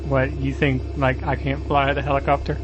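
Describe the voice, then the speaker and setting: A man playing an earnest, naive, hesitant magical character in a comedic audio drama, speaking haltingly. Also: mid-range voice, completely flat delivery, dull audio, natural voice. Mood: worried. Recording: clear engine and vehicle noise.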